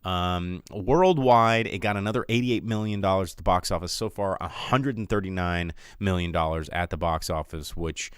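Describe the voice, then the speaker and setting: American man, lowish pitch, dry close sound, sardonic, rambling, casual podcast host. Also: moderate pace, hardly any pauses, clean tone, no room sound. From United States. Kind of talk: casual solo show commentary, reading out rankings.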